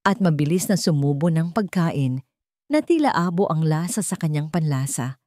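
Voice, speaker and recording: A woman audiobook narrator reading a dramatic story with suspenseful, deliberate phrasing. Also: low voice, lively intonation, dark tone, no echo, studio quality.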